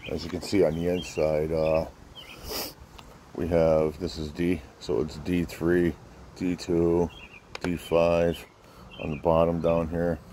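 A man with a very low, deep voice presents a product in a casual vlog style. He is informal and easygoing, often pausing and filling as he goes. The recording is outdoors, with clear wind noise around the voice. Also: monotone, warm tone. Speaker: fireworks channel host. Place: outdoors in a windy spot by a pickup truck bed. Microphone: handheld camera setup with an added mic.

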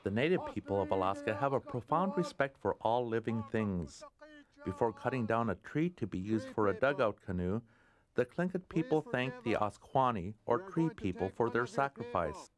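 Calm male documentary narrator. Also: fairly low pitch, moderate pace, crowd noise. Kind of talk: scripted narration.

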